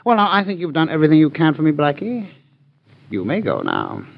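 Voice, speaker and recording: An actor playing a smug, condescending crime boss with urbane, mocking phrasing in a 1940s radio drama. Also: male voice, a little high, huge pitch swings, brisk, gravelly, exaggerated voice. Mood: gloating. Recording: dull 1940s radio recording.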